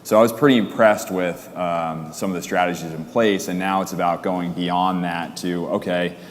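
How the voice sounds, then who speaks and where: Deep-voiced man on a reverberant stage. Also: fairly even intonation, normal speed. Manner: casual, fillers and restarts. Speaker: panelist. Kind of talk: panel discussion. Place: stage at a conference hall. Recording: reverberant hall, faint ambience.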